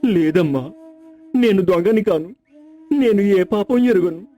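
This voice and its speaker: An actor playing a loyal, honest servant in a folk tale, respectful and earnest. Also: male voice, high voice, unhurried, dark tone, faint music behind. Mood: distressed, pleading. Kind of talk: dramatized folk tale acting.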